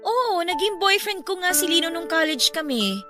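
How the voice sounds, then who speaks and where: A woman's voice: very high-pitched, expressive, moderate pace. An actress playing a breezy, candid young woman opening up about an old romance in a Filipino radio drama. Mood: defensive, apologetic. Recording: music bed behind the voice.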